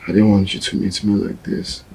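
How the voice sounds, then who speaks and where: A man playing a grieving man who speaks in a subdued, halting, quiet way. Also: sits low, little pitch movement, gravelly, rough recording. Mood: heartbroken. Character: heartbroken man mourning a lost relative. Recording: faint background noise.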